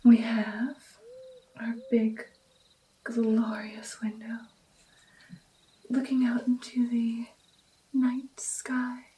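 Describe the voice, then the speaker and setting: Female vampire mentor, acted by a woman, ASMR roleplay, breathy whispering, dark soft tone, very slow pacing, doting, playful, encouraging. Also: fairly high pitch, monotone, slight background noise, rough recording. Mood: thoughtful, instructing. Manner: slow, coaxing, encouraging, lingering over phrases. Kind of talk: ASMR roleplay, personal attention.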